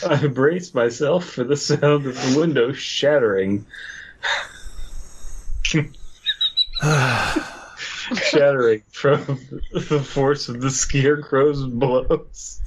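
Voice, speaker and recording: A man on a comedy podcast, reading at a slightly slow pace in a mid-range voice. He jokes crudely as he goes, over faint background noise. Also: medium pitch, dull audio. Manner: joking, crude. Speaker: podcast co-host. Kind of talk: story reading with crude comic banter. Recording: clear background noise with clicks.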